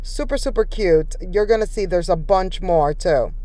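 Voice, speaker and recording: A woman's voice: on the low side, moderate pace, mellow, no room sound. A woman narrating a shopping vlog in a casual, enthusiastic, conversational way, talking straight to viewers. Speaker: shopping vlogger. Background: background music.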